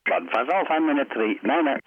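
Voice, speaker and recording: A man's voice: medium pitch, animated, normal speed, a little hiss or hum. A man working as an offshore radio operator, relaying information in clipped phraseology over the radio. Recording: narrow phone-like radio transmission.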